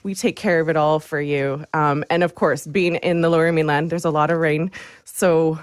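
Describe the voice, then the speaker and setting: A woman's voice: low voice, steady tone, normal speed, dry recording, studio quality. A young woman speaking conversationally as a guest in a radio interview. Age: young adult. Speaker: guest, graphic design entrepreneur.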